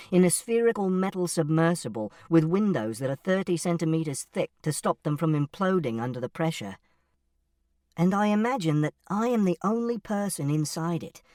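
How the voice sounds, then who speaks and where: A narrator plays a literal-minded teenage boy narrating an audiobook in the first person. The telling is earnest and precise, with lively, varied intonation. The recording is dry, with the voice set slightly back from the mic. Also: medium pitch, no echo, distant mic, close to an everyday voice. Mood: earnest, dreamy. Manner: precise, matter-of-fact, earnest.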